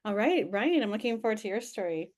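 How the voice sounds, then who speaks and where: A woman on a webinar panel who shares her own past as a former cult member, warm and thoughtful, over a video call. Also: medium pitch, sing-song, quick pace. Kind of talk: moderating and personal testimony on a webinar panel.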